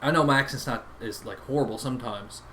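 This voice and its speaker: A man's voice: medium pitch, quick pace, a bit bright, a little hiss or hum. A young man with a Newfoundland accent co-hosting a casual chat show, earnest and opinionated. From Newfoundland, Canada. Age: young adult. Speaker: podcast co-host.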